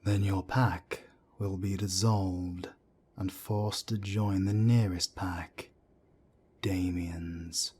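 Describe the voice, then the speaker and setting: A man playing a wry, world-weary werewolf council elder in an audio roleplay drama, speaking in a measured, deliberate way. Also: flat delivery, mellow, exaggerated voice. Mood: grave, instructive. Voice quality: deep, husky.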